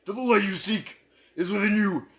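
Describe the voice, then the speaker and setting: A man's voice: sits high, normal speed. A man giving a comedic dramatic reading, reciting in an earnest, solemn way. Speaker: reader.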